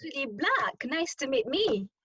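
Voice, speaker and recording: A woman's voice: high voice, big pitch swings, normal speed, pushed and bright, no echo, muffled recording. A woman in casual podcast conversation, speaking expressively and repeating phrases for emphasis.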